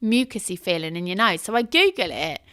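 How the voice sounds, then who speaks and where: Bright, clear-voiced chatty woman. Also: lowish voice, very animated, brisk. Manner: chatty, self-mocking storyteller who laughs often. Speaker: podcast co-host. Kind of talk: casual chat.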